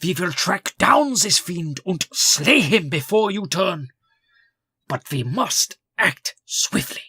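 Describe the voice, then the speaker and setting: A man's voice: sits high, expressive, takes it slowly, sharp tone, husky, no room sound, clean studio sound, exaggerated voice. A man playing a stern, fatherly vampire-hunting mentor in an audio drama, earnest, instructive and commanding. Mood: grave resolve.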